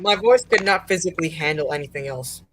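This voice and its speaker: A man's voice: a little high, expressive, fast, a crowd in the background. A young man chatting casually on an internet voice call. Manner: chatty, says his voice is usually loud. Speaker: co-creator and voice actor. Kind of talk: casual chat over a voice call. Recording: noisy internet call line.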